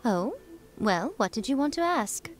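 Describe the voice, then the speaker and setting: An actress playing a sly, composed shrine priestess in an anime video game, coy and gently condescending. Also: female voice, a little high, sing-song, a little slow, loud music behind the voice. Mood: coy, amused.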